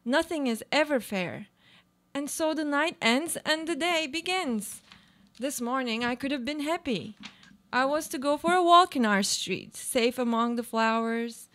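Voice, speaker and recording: A woman's voice: fairly high pitch, a bit bright. A woman playing an aloof, self-absorbed woman in a live radio play, speaking formal, literary monologues. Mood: wistful. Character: aloof, self-absorbed woman clinging to her comfortable life.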